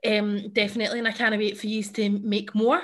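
A woman's voice: mid-range voice, level tone, brisk, noisy background. A young Scottish woman hosting a casual podcast and quiz over a video call, bubbly and chatty, laughing often. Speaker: podcast host.

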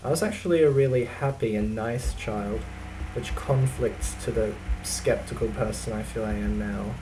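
A young man with an Australian accent, talking casually to his webcam in a dry, deadpan, self-mocking way while answering a list of questions. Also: medium pitch, background noise. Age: young adult. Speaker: vlogger. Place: small indoor room.

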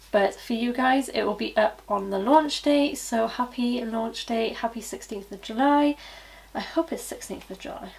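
A young woman reviewing lipsticks to camera in a chatty way, her voice a little croaky from hay fever, often saying obviously and so yeah. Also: on the high side, low-quality recording. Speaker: beauty vlogger and cosmetics consultant. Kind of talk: casual product review to camera. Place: small indoor studio with plain backdrop. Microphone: camera at head-and-shoulders framing.

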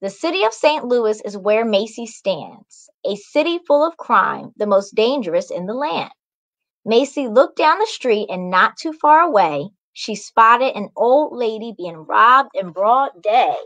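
A woman's voice: fairly high pitch, lively intonation, measured pace, a bit bright. A young adult woman, a children's author, reading a storybook aloud and explaining it simply to young listeners. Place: small indoor room.

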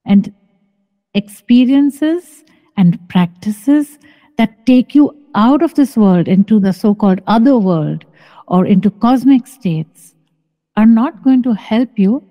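A middle-aged woman, a spiritual teacher, speaking calmly and deliberately, repeating her key phrases as she teaches. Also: mid-range voice, in a large hall. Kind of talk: satsang teaching, measured and deliberate.

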